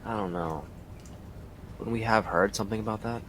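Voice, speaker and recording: An actor playing a weary, driven police detective who narrates his case in a brooding, deliberate way for an audio drama. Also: fairly low pitch, noisy background, close to an everyday voice. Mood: grave, puzzled.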